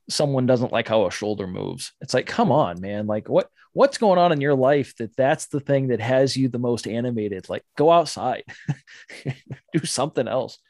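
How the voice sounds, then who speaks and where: A man hosting a casual podcast in a gravelly voice. He talks in a relaxed way, throwing in wry asides and laughing often. The recording is dry, with no room sound. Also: medium pitch, no echo. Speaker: podcast host.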